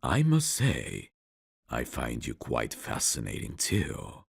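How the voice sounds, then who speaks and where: Very deep, gravelly vampire count. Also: male voice, wildly swooping pitch, no room sound, studio quality, exaggerated voice. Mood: intimate, intrigued. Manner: slow, courtly, old-fashioned, intimate phrasing. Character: suave male vampire count, courtly, seductive, quietly menacing. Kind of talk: ASMR roleplay acting. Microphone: close dry studio mic.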